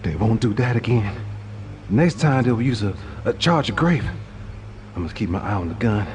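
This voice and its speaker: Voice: mid-range voice, lively intonation, moderate pace, a little mellow, slight background noise, pitched above the performer's own voice, close to an everyday voice. A man voicing a desperate hunted man thinking aloud, urgent and stammering. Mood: anxious, alert. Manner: urgent, stammering, rising with strain.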